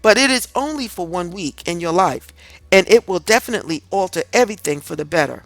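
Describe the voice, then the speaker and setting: A woman reads a scripted self-help audiobook in a deliberate, earnest, instructive way. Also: lowish voice, expressive, no echo, rough recording. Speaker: audiobook reader. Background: music in the background.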